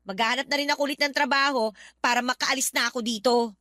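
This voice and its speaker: A woman's voice: high voice, fairly even intonation, fast talker, bright, forceful tone, dry recording. An actress playing a defensive, sulky young woman in a radio drama, talking back in an aggrieved, sarcastic way. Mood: resentful. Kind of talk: Tagalog radio drama acting.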